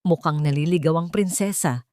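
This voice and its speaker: A woman's voice: deep voice, moderate pace, darkish tone, no room sound, clean studio sound, lightly acted. Taunting Filipino street thug done by a woman. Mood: mocking menace. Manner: mocking, teasing. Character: taunting male street loiterer, leader of menacing trio.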